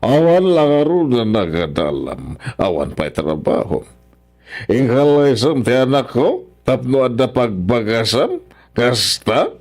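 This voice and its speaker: A man's voice: medium pitch, very animated, measured pace, darkish tone, faint music behind. A man playing a stern, scornful, wealthy older father-in-law in an Ilocano radio drama, scolding haughtily with an Ilocano accent.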